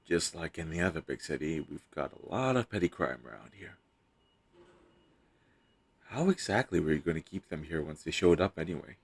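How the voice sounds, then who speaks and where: Man acting as a deep gravelly teasing captive hero. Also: lively intonation, moderate pace, full character voice. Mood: wry, teasing. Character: captured superhero posing as a hostage, teasing, flirtatious, coy. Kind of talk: intimate scripted audio roleplay.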